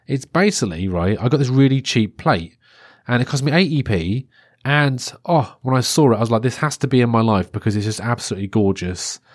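A man's voice: medium pitch, animated, brisk, dry recording, clean studio sound. Congested man rambling on a podcast. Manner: rambling and chatty, many restarts and fillers. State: stuffy-nosed, congested. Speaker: podcast host. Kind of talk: solo podcast monologue.

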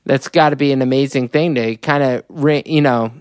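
Smooth-voiced podcast host. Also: medium pitch, normal speed, a little mellow, no room sound, clean studio sound. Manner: rambling, thinks aloud with fillers while framing questions. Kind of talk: casual interview questions.

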